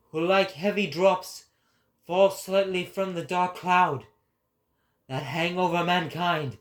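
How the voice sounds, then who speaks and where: A man voicing an impassioned, solemn prophet in a dramatic reading, with declamatory and emphatic phrasing. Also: sits high. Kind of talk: dramatic reading of philosophical prose.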